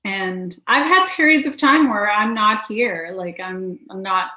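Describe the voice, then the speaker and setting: A Canadian woman hosting a casual interview, chatty and letting her sentences trail off softly. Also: medium pitch, unhurried, echoey room, low-quality recording. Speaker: podcast host.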